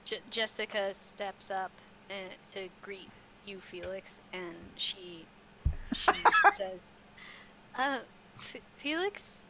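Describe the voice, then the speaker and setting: A woman narrating a tabletop roleplaying game casually as game master, with halting, stammered starts. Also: medium pitch, very animated, pushed and bright. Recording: clearly noisy background.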